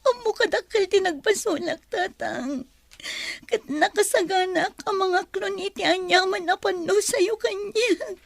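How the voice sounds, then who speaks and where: A woman's voice: extremely high pitch, measured pace, vocal fry, dry recording, heavily acted. A woman playing an emotional young student daughter in an Ilocano audio drama. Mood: tearful pleading. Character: young female student, tearful, ashamed pregnant daughter.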